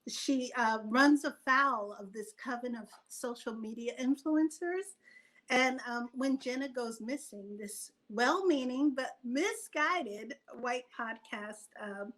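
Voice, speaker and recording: Female, high-pitched voice. Writer as interview guest, casual and animated, thinking aloud. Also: slow, low-quality recording.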